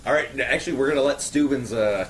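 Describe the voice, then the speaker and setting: A man joining casual panel banter in a playful, animated way. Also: medium pitch, fast. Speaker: podcast panelist. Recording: faint background noise.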